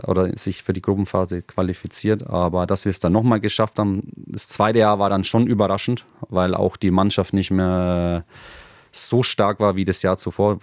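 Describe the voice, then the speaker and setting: Low voiced german guy, 30s, footballer in an interview, laid back, lots of ums, dry close sound. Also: dry recording. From Germany, near Nuremberg. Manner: relaxed, hesitant with frequent fillers. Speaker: football player, guest. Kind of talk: casual interview answers.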